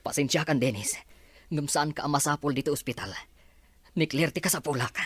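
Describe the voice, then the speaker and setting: A man's voice: fairly high pitch, fairly quick, fairly bright tone, husky, no room sound. An actor playing a troubled man in a hospital who speaks tensely and with exasperation in a radio drama.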